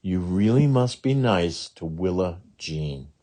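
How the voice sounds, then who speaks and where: Storybook mother, performed by a man: brisk, managing, firm reminders, very varied intonation. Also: sits low, lively intonation, mellow, full character voice. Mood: gently admonishing. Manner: brisk, admonishing.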